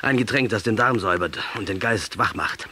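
An actor playing a proud, defiant middle-aged guerrilla commander in captivity, wry and commanding, in a radio drama. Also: male voice, fairly low pitch, brisk, bright, forceful tone, a little hiss or hum. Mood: sardonic.

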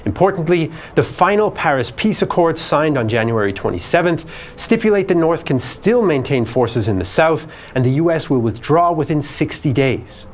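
A man's voice: a little high, sing-song, normal speed, few pauses. A man in his thirties, host of a history channel, delivering scripted documentary narration in a studio. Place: dark studio set.

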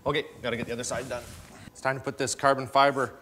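A man's voice: mid-range voice, flat delivery, fast, bright, forceful tone. A man in his forties giving a casual, matter-of-fact how-to talk with folksy, plain-spoken phrasing. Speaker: host of a snowmobile build series. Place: indoor garage workshop full of tools. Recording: clearly noisy workshop recording with clicks.

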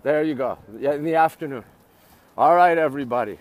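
A man's voice: fairly high pitch, a little fast. A middle-aged man chatting casually to live-stream viewers outdoors, in short, repeated exclamatory phrases. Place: outdoors on city streets by a river.

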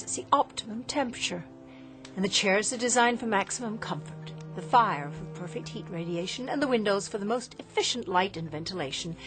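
An actress playing a restless, dissatisfied daughter in an audio drama, earnest and pointed. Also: female voice, a little high, lightly acted. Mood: weary, sardonic. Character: restless, dissatisfied grown daughter yearning for change.

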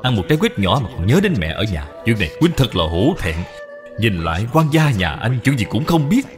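A man's voice: on the low side, very animated, fairly quick. A man voicing a kindly, courteous middle-aged general in a cartoon, speaking earnestly. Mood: gentle, admiring. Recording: long reverb, background music.

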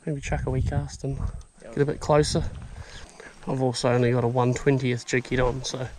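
A young man with a New Zealand accent giving excited, slangy running commentary while fishing outdoors at a pond, recorded on an action camera. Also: medium pitch, normal speed, a little hiss or hum, poor audio. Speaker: fishing vlogger. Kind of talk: casual outdoor vlog commentary. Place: outdoors by a high country pond. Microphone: action camera mounted on the body.

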